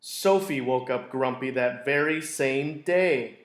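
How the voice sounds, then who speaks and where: A man reading a children's picture book aloud in a deliberate, expressive storytelling way. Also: medium pitch. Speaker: school read-aloud storyteller. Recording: roomy, echoey indoor sound.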